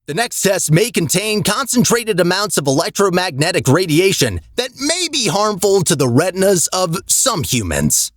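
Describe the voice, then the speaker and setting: An actor voicing a calm, formal automated announcer in a video game, reading test instructions in a detached, officious manner. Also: medium pitch, normal speed, few pauses, no room sound, studio quality. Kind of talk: scripted deadpan public-address announcements.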